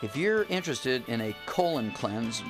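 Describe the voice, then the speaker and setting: A man of eighty hosting a radio talk show, pitching products in a rambling, repetitive way with many fillers. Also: very animated, moderate pace, music in the background. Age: 80s. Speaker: radio host.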